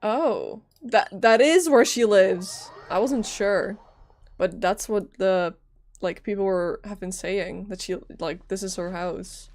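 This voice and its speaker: A woman's voice: mid-range voice, slow, a little mellow. A woman game streamer giving casual, offhand live commentary as she plays.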